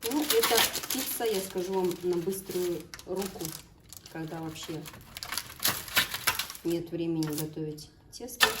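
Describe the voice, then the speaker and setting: Chatty Tatar-born woman, lowish voice. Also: heavy background noise. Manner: chatty and homely, often breaks off to instruct her children. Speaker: vlogger and mother. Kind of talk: casual home vlog chat while cooking with kids. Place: home kitchen.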